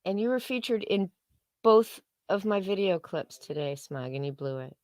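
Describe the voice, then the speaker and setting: A woman's voice: medium pitch, lively intonation, normal speed, darkish tone, no room sound, clean studio sound. A woman co-hosting a livestream with casual commentary, blunt and sarcastic, with frequent swearing. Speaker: co-host of a livestream.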